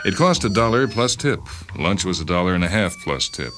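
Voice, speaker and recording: A man reading a short story as a radio host, in measured, expressive dramatic narration. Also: low-pitched. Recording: music under the voice. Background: music.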